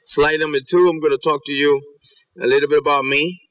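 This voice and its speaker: Guy in his 40s doing a keynote talk on stage, bright forceful voice, kinda halting and informal. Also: on the high side, steady tone, rough recording. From South Bronx, New York and Ecuador. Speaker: keynote speaker. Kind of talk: conference presentation. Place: auditorium stage at a podium.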